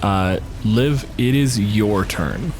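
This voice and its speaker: A man's voice: lowish voice, slow pace, background noise. A man running a tabletop fantasy game, moving between dramatic descriptive narration and casual, sweary table talk. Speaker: game master. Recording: loud music bed behind voice. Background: background music.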